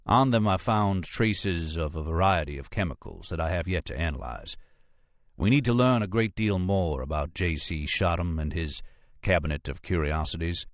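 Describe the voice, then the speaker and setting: An audiobook character performed by a male narrator: a courteous male federal agent with a deep, creamy drawl. He is formal, unhurried and drily witty, sure of himself in every phrase. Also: dry recording.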